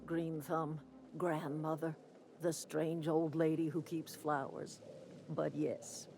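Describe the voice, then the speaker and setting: A woman's voice: sits low, flat delivery, slow, gravelly, darkish tone, a little hiss or hum, exaggerated voice. An actress playing a proud, motherly elderly woman who leads a camp in a video game, plain-spoken and folksy. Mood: kindly, welcoming. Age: older. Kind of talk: video game character dialogue. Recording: music behind the voice.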